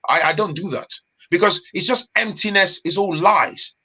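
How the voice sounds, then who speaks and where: A man from Nigeria, a guest in a casual chat, talking in an animated, emphatic way with street slang. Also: high-pitched, normal speed, slightly rough.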